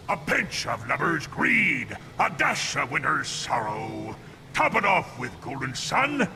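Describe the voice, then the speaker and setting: Game role: jolly old pirate ghoul, stage pirate accent, heavy gravel, lively swings in pitch, unhurried. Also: male voice, background noise, exaggerated voice. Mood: theatrical, relishing. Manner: archaic pirate speech, exclaiming heartily. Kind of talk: theatrical video game character acting. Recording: music behind the voice.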